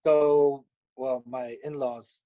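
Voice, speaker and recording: A man from Canada, a pizzeria owner, answering interview questions in a halting way with many fillers. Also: medium pitch, steady tone, dark tone, lo-fi sound.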